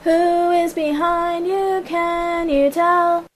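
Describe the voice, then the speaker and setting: A woman's voice: very high voice, fairly even intonation, extremely slow, soft background music, full character voice. A woman voicing a friendly, cheerful elementary-age boy in an amateur fandub, playful and teasing with a sing-song chant.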